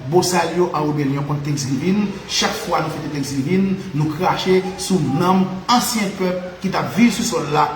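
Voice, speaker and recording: Haitian male commentator, high register, emphatic and repetitive, echoey room. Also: moderate pace, few pauses. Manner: mixes Creole and French, emphatic, repeats phrases, laughs often. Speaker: spiritual commentator. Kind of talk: impassioned monologue. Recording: echoey room with faint ambient noise.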